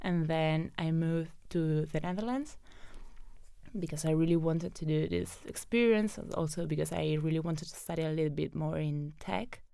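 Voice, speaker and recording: A young Italian woman speaks English with her native accent, chatting as an interview guest with plenty of fillers and easy laughter. Her voice sits a little low, with a gravelly fry that still comes through crisp. The sound is dry and close. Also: moderate pace, warm tone, no room sound. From southern Italy. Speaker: guest, data scientist. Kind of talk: casual interview storytelling.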